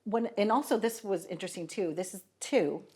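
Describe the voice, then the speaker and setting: A woman's voice: medium pitch, brisk, dark tone, husky. A woman hosting a talk show, interviewing guests in an enthusiastic, talkative way and often tossing in "right?". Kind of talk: friendly interview chat.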